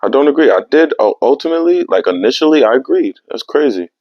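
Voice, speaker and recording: A young man hosting his own podcast, talking in a casual, animated way with streetwise slang. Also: medium pitch, fast, no echo. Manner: animated, streetwise slang, repeats "you know what I mean". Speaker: podcast host. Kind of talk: casual solo podcast monologue. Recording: dry, slightly dull lo-fi sound.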